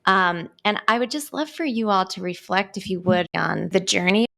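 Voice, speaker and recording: A woman hosting a podcast, asking her guests thoughtful, measured questions. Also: medium pitch, vocal fry, a bit bright. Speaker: podcast host. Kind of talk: interviewing guests.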